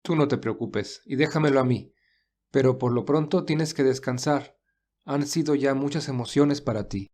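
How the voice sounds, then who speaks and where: A man playing a calm, gentle, protective young man in a romance audio story, speaking in a measured, reassuring way. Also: medium pitch, natural voice. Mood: tender, reassuring.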